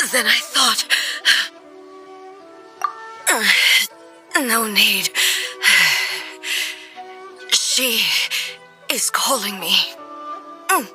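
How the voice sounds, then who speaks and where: A regal warrior goddess speaks slowly and formally, with great solemnity. Her voice is very bright and forceful, in a video game style, over soft background music. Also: high voice, heavily acted. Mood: strained, weakened.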